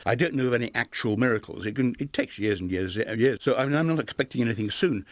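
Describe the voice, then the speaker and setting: Older guy being interviewed, medium pitch, no room sound. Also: animated, fast, dry recording, muffled recording. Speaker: interview guest, former newspaper editor. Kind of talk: interview talk.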